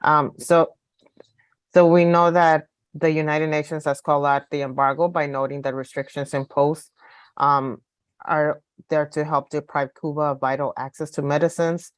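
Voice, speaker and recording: A woman from Los Angeles speaking as an activist guest, delivering a prepared political speech in a measured way, point by point. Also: sits low, dry recording. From Los Angeles, United States.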